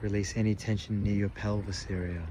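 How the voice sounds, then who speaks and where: A man leading a guided meditation in a calm, soothing manner, giving slow instructions with long pauses. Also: on the low side. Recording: loud water and wind ambience. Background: loud ocean water ambience.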